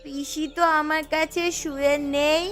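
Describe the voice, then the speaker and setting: Woman playing high-voiced little village girl. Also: flat delivery, a little slow, a bit bright, faint music behind. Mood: worried. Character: young village daughter, dutiful child. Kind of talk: Bengali cartoon voice acting.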